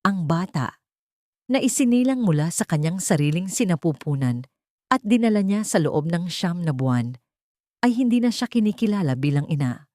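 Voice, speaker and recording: A Filipino woman narrates a scripted drama in a fairly low voice. The recording is dry and close, with very little room sound. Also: lively intonation, moderate pace, darkish tone, dry recording.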